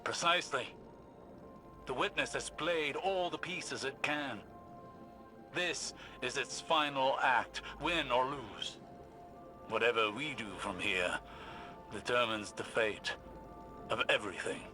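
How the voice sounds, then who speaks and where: An actor playing a learned, cautious male scholar in a science fiction game, speaking formally and deliberately with precise phrasing. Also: mid-range voice, music in the background, natural voice. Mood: intent, solemn. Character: grave, learned male scholar in a sci-fi world, measured and cautious. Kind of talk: dramatic video game dialogue.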